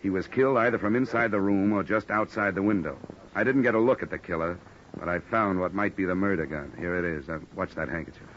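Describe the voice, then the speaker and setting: An American man acting a wry, hard-boiled insurance investigator in an old-time radio noir drama, deadpan and wisecracking. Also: low voice, fast talker, a little hiss or hum, natural voice. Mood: businesslike. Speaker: actor narrating and playing a detective. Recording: dull lo-fi old radio recording, music behind.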